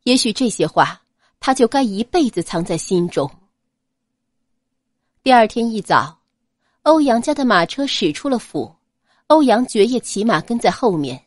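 Expressive woman narrating an audio novel. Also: a little high, measured pace, pauses often, no echo, studio quality.

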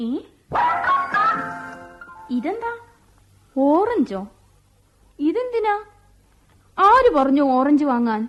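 An actress playing a quick-tempered young wife who snaps, sulks and whimpers. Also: female voice, high voice, sing-song, dull audio, faint music behind, exaggerated voice. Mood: annoyed. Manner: snappy, sulking, whimpering. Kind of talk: radio play acting.

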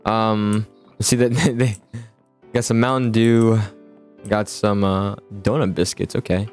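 A young man with a fairly low voice hosts a casual, improvised game roleplay. He rambles, repeats phrases and stumbles into his sentences. Soft music plays underneath. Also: little pitch movement, measured pace. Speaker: host of a gaming roleplay. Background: background music.

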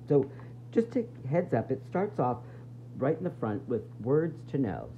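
A woman's voice: a bass voice, phone-quality sound, a little hiss or hum. A woman teacher reading a children's nonfiction book aloud, expressively explaining it and putting questions to young listeners.

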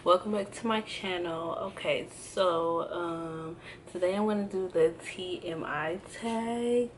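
A woman in her early twenties chatting casually to camera, answering a list of questions in a relaxed, hesitant way with many pauses and restarts. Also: on the low side, a little hiss or hum. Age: 20s. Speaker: vlogger. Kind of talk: casual tag video chat. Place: indoors at home, in front of a backdrop. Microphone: camera framed close, seated.

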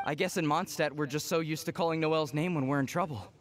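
High-voiced timid young villager, acted by a man. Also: fast talker, natural voice. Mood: sheepish. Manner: nervous, relieved, sheepish. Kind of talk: anime-style video game voice acting.

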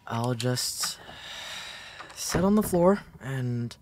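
Protective big brother, acted by a man, intimate roleplay audio: slow, halting, reassuring, playful, mid-range voice. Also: medium pitch, animated, darkish tone, a little hiss or hum. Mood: gentle concern. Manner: hesitant, soothing, halting delivery. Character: gentle, protective, playful big brother comforting an anxious sibling.

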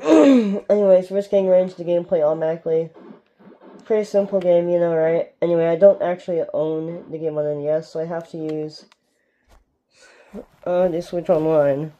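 A young man from Canada giving rambling, casual gameplay commentary with a sore, strained throat, recorded on a phone filming a TV in a dark room at home. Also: fairly high pitch, brisk, dull audio. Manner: rambling, keeps asking "alright?". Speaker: gaming YouTuber.

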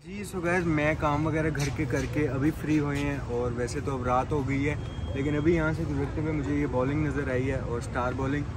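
A man's voice: on the high side, steady tone, fairly quick, heavy background noise. A man in his twenties with a Pakistani accent, chatting casually as a vlogger. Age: 20s. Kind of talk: casual vlog chat. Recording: loud music behind the voice. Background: loud music.

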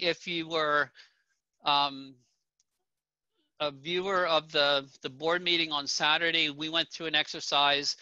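Bright-voiced man hosting a video call. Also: a little high, clear, resonant tone, no room sound. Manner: plain-spoken, with frequent ums and restarts. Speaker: association president presenting at a town hall. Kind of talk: presenting slides at an online town hall. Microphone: video call webcam. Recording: dull, dry video call audio.

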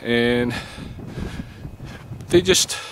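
A middle-aged man talking casually outdoors into a close camera, halting and reflective, with long pauses as he thinks aloud. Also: mid-range voice, fairly bright tone. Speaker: vlogger on a night hike. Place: outdoors at night, open field. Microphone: camera held close to face. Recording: windy outdoor camera mic, noisy. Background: wind noise.

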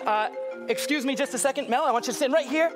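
A man's voice: extremely high pitch, fast talker. A man performing magic on stage, directing a judge and the audience with showy patter. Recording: live show with music and crowd.

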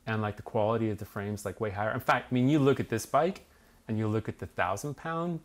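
A man's voice: on the low side, fast talker. A British man in his thirties, a bike magazine reviewer, chatting eagerly in an office studio with lots of "like" and "basically". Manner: enthusiastic, animated, many "like" and "basically" fillers. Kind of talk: casual review chat. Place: small office studio indoors.

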